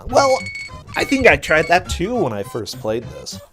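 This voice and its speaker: A man's voice: a little high, huge pitch swings, unhurried. A man doing casual, chatty commentary on a video game race. He laughs often, and his voice is getting a little worn. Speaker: teacher and streamer. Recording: music playing behind.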